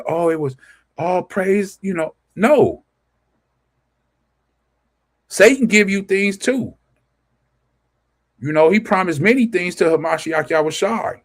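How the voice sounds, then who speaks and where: A man's voice: a little high, a bit bright. A man reading scripture aloud and preaching informally to an online audience, asking rhetorical questions and answering them himself. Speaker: scripture teacher. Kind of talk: informal scripture study livestream.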